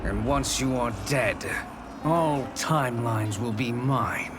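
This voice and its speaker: An actor playing an arrogant, scheming sorcerer in a video game cutscene, speaking in a haughty, formal manner over music. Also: male voice, medium pitch, slow pace, natural voice. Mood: menacing, defiant.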